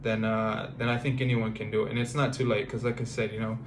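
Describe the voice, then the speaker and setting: A man in his thirties from the United States, talking through a personal vlog in a halting, reflective way with many fillers and restarts. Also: lowish voice, level tone, slight background noise. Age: 30s. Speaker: vlogger.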